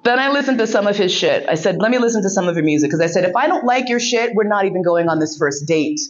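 A woman's voice: mid-range voice, lively intonation, fast, lo-fi sound. Frank, laughing woman telling a story. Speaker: podcast host giving a keynote speech. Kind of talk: storytelling speech.

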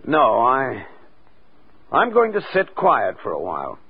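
A reluctant, evasive accomplice in a vintage radio thriller. His husky voice swings widely in pitch as he protests nervously. The sound is thin and phone-like. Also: huge pitch swings, unhurried, dry recording, full character voice. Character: nervous, reluctant male racket accomplice, cautious. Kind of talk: 1940s radio acting. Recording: thin, narrow-band old radio recording.

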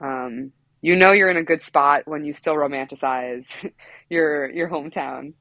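A woman's voice: deep voice, measured pace, fairly bright tone, no echo. Giggly New woman guest. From New York. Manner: playful, giggly, rambling. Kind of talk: casual comedic podcast chat.

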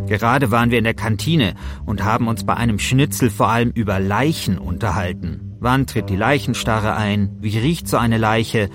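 A man's voice: lowish voice, normal speed, music in the background. A middle-aged man hosting a podcast as a journalist, moving between scripted narration and casual studio talk. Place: radio studio.

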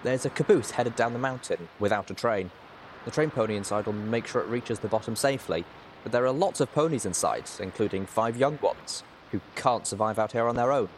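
A man acts out a young pony mare in a storybook, urgent and cross. The voice is pushed up higher and louder, pleading one moment and giving orders the next. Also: a little fast, a little hiss or hum, lightly acted. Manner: pleading, commanding, exclaiming.

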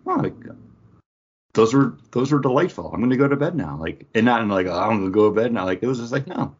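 A man co-hosting a podcast in a casual, chatty style, with a husky voice. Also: medium pitch, expressive, fast. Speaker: podcast co-host. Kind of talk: casual chat. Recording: dull lo-fi audio.

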